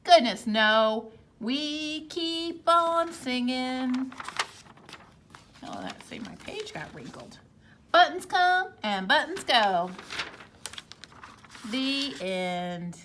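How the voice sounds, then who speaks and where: A woman teacher reads a children's picture book aloud in a high, lively voice that swoops up and down. She takes her time, with bright, exclamatory storytime energy over a faint hiss in the background. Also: extremely slow, long pauses. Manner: animated, exclamatory storytime delivery.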